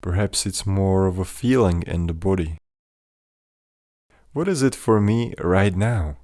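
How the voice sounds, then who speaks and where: A man with a fairly low voice guides a mindfulness meditation. He speaks very slowly and gently, giving measured instructions. The sound is dry, with no room echo.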